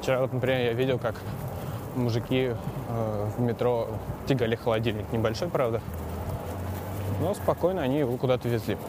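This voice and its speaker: A man's voice: mid-range voice, brisk. A man hosting a podcast, talking in a rambling, hesitant way as he rides a bicycle through city streets. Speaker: podcast host. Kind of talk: casual monologue while cycling outdoors. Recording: loud street traffic noise. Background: traffic and city sounds.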